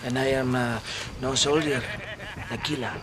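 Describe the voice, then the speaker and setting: An actor playing a world-weary, self-justifying Mexican army captain in a video game, with a Mexican accent and a theatrical, grandiloquent way of talking. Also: male voice, medium pitch, fairly even intonation, moderate pace, noisy background, close to an everyday voice. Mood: sorrowful, hushed. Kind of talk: video game cutscene acting.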